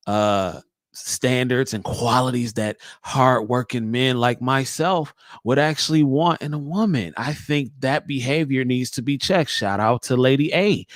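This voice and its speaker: A man's voice: medium pitch, no echo, clean studio sound. A man hosting a talk show, speaking casually in slang and giving his opinions. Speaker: show host.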